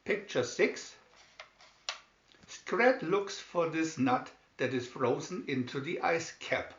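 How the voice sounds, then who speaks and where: A man reading a children's picture-story booklet aloud in scripted narration, deliberately and with pauses. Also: a little high, animated, a bit bright. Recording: dull lo-fi, roomy echo.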